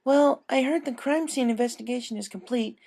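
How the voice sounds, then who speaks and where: Narrator as a chatty high-voiced pony mare. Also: a little fast, gravelly, a little mellow. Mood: friendly.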